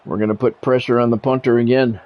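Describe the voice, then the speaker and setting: A man calling live play-by-play of a football video game in measured, short clipped announcer phrases. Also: medium pitch, little pitch movement. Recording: dull lo-fi audio with music behind.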